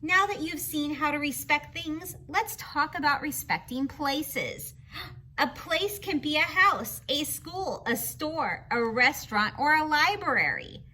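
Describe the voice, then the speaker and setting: A woman reads a children's book aloud in a very bright, high voice. She takes her time, speaking in an animated, teacherly manner and addressing young listeners directly. There is faint noise in the background. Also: slow, low-quality recording. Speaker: picture-book reader. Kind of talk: children's read-aloud with explanatory asides.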